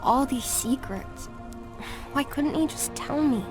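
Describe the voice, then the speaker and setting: A woman's voice: sits high, takes it slowly. An actress playing an earnest, questioning young girl in a game cutscene, speaking with some hesitation. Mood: hurt. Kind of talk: cinematic game cutscene dialogue. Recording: music behind the voice.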